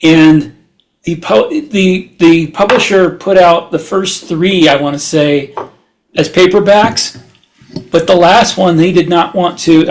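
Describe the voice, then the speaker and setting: A man co-hosting a podcast, talking casually and haltingly as he thinks aloud. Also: high-pitched. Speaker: podcast co-host and author. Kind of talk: casual podcast discussion of publishing.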